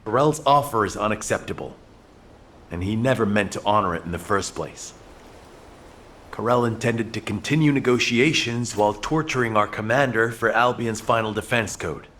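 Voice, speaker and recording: Game cutscene lead: remorseful, resolute male commander played by an actor, lowish voice, grave and deliberate. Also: fairly bright tone, lightly acted. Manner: solemn, measured, resolute. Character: earnest, remorseful male commander in a sci-fi war. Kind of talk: video game cutscene acting.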